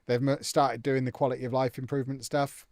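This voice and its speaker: A man's voice: mid-range voice, little pitch movement, a little fast, darkish tone, dry recording, studio quality. A young man chatting casually and jokily on a live stream, answering viewers' comments as he plays a football management game.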